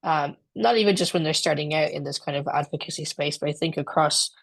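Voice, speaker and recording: A woman's voice: low voice, brisk, gravelly, no echo. A woman moderating an online discussion in a conversational, informal way as host. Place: online video call.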